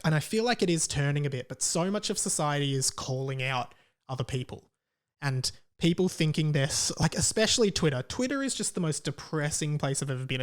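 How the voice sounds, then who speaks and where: A man with an Australian accent giving a casual opinion monologue as a podcast host, hesitant and often questioning himself as he rambles. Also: on the high side.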